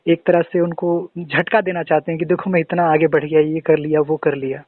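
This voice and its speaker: Man, interviewer, slightly high voice, thin phone-line sound, dry. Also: level tone, fairly quick, no room sound. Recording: tinny, band-limited phone call recording.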